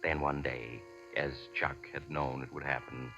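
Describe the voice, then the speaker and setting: An actor narrating a 1940s radio suspense tale in a measured, ominous, theatrical way. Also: male voice, very deep voice, fairly even intonation, over music. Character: ominous, composed mystery-story narrator. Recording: dull vintage radio recording.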